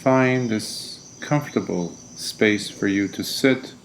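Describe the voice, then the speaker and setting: Slow, low-voiced man guiding meditation. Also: a little hiss or hum. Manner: gentle, deliberate, soothing instruction. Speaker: meditation teacher. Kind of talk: guided meditation.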